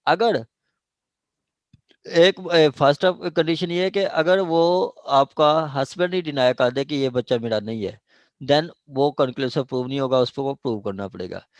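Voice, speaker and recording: Slow-paced Pakistani man lecturing on law. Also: medium pitch, no echo. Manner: explanatory, code-switching between Urdu and English, with frequent check phrases. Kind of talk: classroom lecture, reading statute aloud and explaining it.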